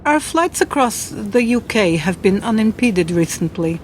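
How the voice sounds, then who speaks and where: An actress playing a cool, teasing, world-weary Polish woman spy in a radio drama, speaking in a measured, formal way. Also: medium pitch, animated, natural voice. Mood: cold, commanding. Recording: clearly noisy background.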